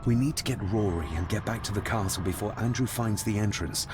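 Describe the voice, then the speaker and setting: Casting: male actor as haunted young heir in a period mystery game, low-pitched and brooding, heavy ambient noise. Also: fairly quick, natural voice. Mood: earnest, troubled. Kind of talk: video game voice acting. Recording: loud ambient rumble behind voice.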